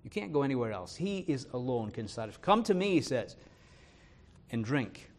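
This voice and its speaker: A man preaching a sermon in an English accent from Leeds, mixed with Irish and American traces. He reads scripture slowly and with weight, and often pauses on "uh" and "um". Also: medium pitch, big pitch swings, darkish tone. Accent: Leeds English, touched by Irish and American. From northern England. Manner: earnest, fills pauses with "uh" and "um".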